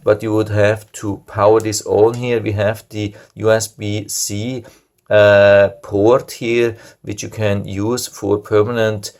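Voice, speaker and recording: A man's voice: fairly low pitch, little pitch movement, takes it slowly, darkish tone. A man giving a casual product review, explaining the features of a device step by step in a plain, matter-of-fact way.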